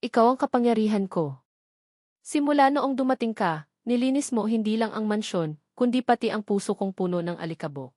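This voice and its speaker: A woman voicing a young wealthy groom, earnest and deeply moved as he speaks. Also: animated, brisk, a little mellow, no room sound, studio quality, lightly acted. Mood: heartfelt. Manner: earnest, emotional vows.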